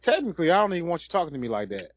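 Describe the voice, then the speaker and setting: Fairly high, lively man, laid-back co-host. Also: very fast, muffled recording, a little hiss or hum. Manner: laid-back, laughing, stammers and restarts. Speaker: podcast co-host. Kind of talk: casual joking chat.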